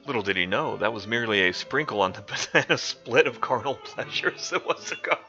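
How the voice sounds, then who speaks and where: A man's voice: mid-range voice, expressive, fairly quick, sharp tone. A young man streaming a mock-dramatic reading of a comic fanfic, often cracking up with laughter. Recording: dull lo-fi stream audio with faint music.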